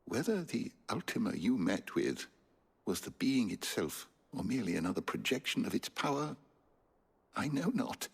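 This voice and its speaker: A man's voice: mid-range voice, sing-song, normal speed, creaky, breathy edge, exaggerated voice. An actor playing a bookish, kindly elderly male scholar in a video game, emotional and wordy, with florid, theatrical phrasing. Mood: grave, thoughtful.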